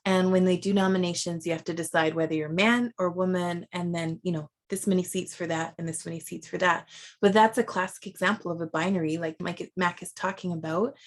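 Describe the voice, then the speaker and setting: A woman moderating a virtual panel, speaking in a conversational, reflective way with soft fillers over a video call. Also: mid-range voice.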